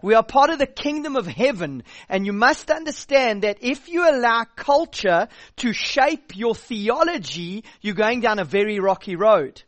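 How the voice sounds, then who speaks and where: A man's voice: sits high, big pitch swings, moderate pace, few pauses, a bit bright, no echo. A South African youth pastor in his thirties preaching a sermon, direct and blunt, with a dry joking edge.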